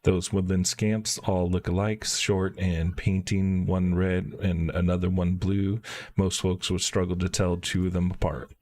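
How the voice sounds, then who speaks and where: A man's voice: deep voice, flat delivery, measured pace, a little mellow. A man reading game dialogue aloud as a chatty, folksy fantasy tavern keeper with rustic, old-fashioned wording. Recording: clear background noise.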